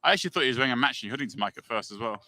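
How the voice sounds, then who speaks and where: Bright-voiced poker play-by-play man. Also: medium pitch, lively intonation, no room sound. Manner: brisk, calls the action, acts out players' reactions. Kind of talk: live play-by-play commentary.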